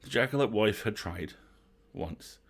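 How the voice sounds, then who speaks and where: A man narrating a bedtime story from a script as an expressive storyteller. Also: fairly low pitch, a little slow, breathy edge. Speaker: storyteller, host.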